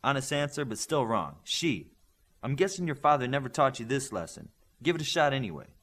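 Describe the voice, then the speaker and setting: A man's voice: medium pitch, big pitch swings, brisk, a bit bright. A man voicing a stern, demanding superhero coach in an audiobook, speaking with blunt, commanding authority to young trainees. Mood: brusque, testing. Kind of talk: audiobook character dialogue.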